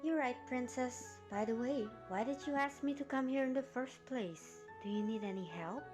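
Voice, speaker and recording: A woman playing a friendly, chatty young helper to a pony princess, obliging and deferential, in an animated audio drama. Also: high voice, normal speed, natural voice. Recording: music behind the voice.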